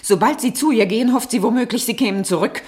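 An actress in a 1960s German radio play voicing a frank, worldly confidante who admonishes in a composed, pointed way. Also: female voice, a little high, fast talker, a little mellow, close to an everyday voice. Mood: cool, reproving. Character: frank, worldly woman confidante, coolly reproving.

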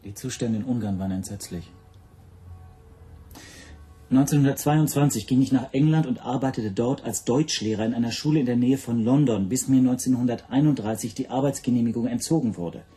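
An actor playing an earnest, anxious Hungarian language teacher who explains himself formally and carefully in a radio drama. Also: male voice, mid-range voice, a little fast, warm tone, soft background music, lightly acted. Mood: earnest, defensive.

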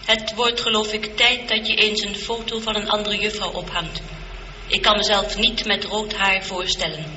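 An actress playing a calm, logical, seductive spaceship computer in a radio drama, speaking in a measured, precise and unemotional way. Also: female voice, medium pitch, natural voice. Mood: gentle, composed. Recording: muffled, echoey, noisy old radio recording.

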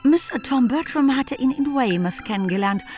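A woman's voice: sits high, animated, normal speed, a little mellow, faint music behind. A woman delivering scripted literary narration as the narrator of a German radio drama.

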